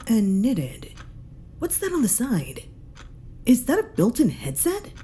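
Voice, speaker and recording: A woman's voice: on the high side, wildly swooping pitch, slow pace, warm tone, heavily acted. A woman playing a polite, dry, methodical detective chief inspector in a science fiction audio drama. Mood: dry, inquiring.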